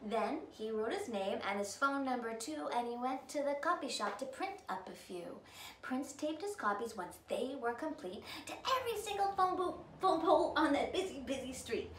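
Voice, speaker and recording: A woman's voice: high-pitched, expressive, normal speed, fairly bright tone. A woman in her thirties reading a children's story aloud in a theatrical, emphatic way. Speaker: storyteller, show host. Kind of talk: dramatic children's read-aloud. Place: living room by a fireplace, indoors. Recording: roomy, distant mic, faint noise.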